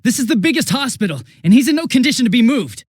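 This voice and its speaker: A man voicing a young police detective in a video game, affable and easily flustered, with a casual, hesitant and excitable way of talking. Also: very high voice, quick pace, breathy edge, no echo, studio quality, heavily acted. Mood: flustered, alarmed. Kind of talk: English video game voice acting.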